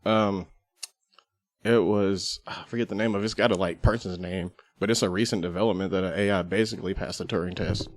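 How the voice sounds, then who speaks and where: A man's voice: low-pitched, fairly even intonation, brisk, no room sound, clean studio sound. A man speaking as a podcast panelist in a casual, reflective discussion.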